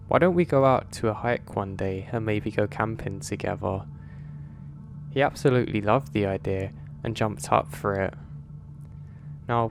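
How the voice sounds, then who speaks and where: A man calmly narrating listener-submitted horror stories in a measured, scripted delivery. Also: fairly low pitch, a little hiss or hum. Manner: calm, measured storytelling delivery.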